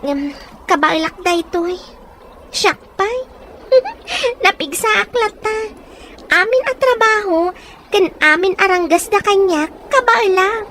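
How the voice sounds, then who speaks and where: A woman's voice: extremely high pitch, animated, slow, long pauses, a bit bright, full character voice. A woman playing a poor, resilient young orphan in an audio drama, plain-spoken and caring, with a northern Philippine accent. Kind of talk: acted audio drama dialogue. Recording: faint background noise.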